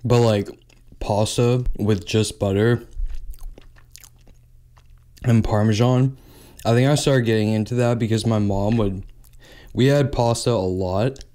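Mellow, smooth-voiced man chatting while eating. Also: fairly low pitch. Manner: relaxed, pauses between bites, frequent "like". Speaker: mukbang host. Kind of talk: casual eating chat, mukbang ASMR.

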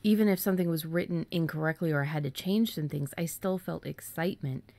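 A woman's voice: deep voice, quick pace, a little mellow, no echo. A woman co-hosting a podcast in a casual, informal, somewhat rambling conversational style. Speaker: podcast co-host. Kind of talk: casual chat.

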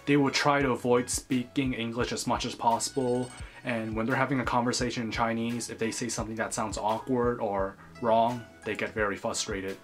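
A man's voice: medium pitch, little pitch movement, normal speed, soft background music. A young man in his twenties from the United States, talking earnestly straight to camera in a small bedroom, close to the camera. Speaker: vlogger. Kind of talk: scripted vlog commentary.

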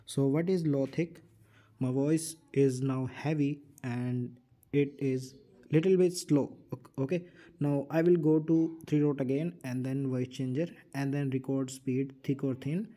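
A man's voice: mid-range voice, steady tone, measured pace, warm tone. A man hosting a casual app tutorial, explaining things plainly step by step and repeating himself. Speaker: tutorial host.

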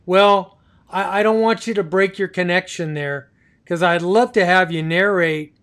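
A middle-aged man hosting a live video chat, courteous and halting as he talks with his guests. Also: sits high, fairly even intonation, a bit bright. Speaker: live show host. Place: small home office, indoors.

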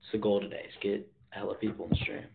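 A man's voice: lowish voice, monotone, quick pace, creaky. Teen boy chatting on his phone livestream, laid-back slang, tinny phone-line sound. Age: teens. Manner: slangy, laid-back, reads viewer comments aloud. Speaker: livestream host. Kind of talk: casual livestream chat. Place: bedroom, indoors. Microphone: phone at arm's length.

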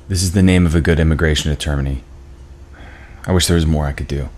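An awkward office boss in his forties, played by a man at an online table read. He is apologetic and speaks in a very low voice. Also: fairly even intonation, fast talker, a little mellow. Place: indoor room, video call.

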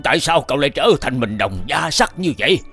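A man voicing a weary, cautious old village elder in an animated fairy tale, with a plaintive manner. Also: mid-range voice, a little fast, slightly rough, soft background music, full character voice. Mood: weary, doubtful.

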